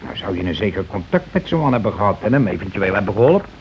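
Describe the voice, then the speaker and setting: Low-voiced, bossy, callous husband. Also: male voice, very animated, fast talker. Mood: grumbling. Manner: blustering, self-important, coarse colloquial speech. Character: bossy, callous working-class husband and landlord, grumbling and scheming. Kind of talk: radio play acting. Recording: hissy, dull old radio recording.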